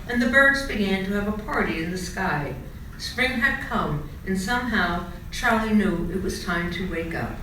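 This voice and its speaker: A woman reading a children's storybook aloud in an expressive, dramatic storytelling style. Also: medium pitch. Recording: echoey room, distant mic, faint noise.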